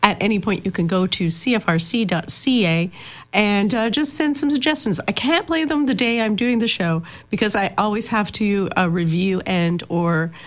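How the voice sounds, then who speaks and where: A woman's voice: medium pitch, normal speed. Hesitant woman radio host. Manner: hesitant, many fillers, light laughs. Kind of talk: casual radio chat. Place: radio studio.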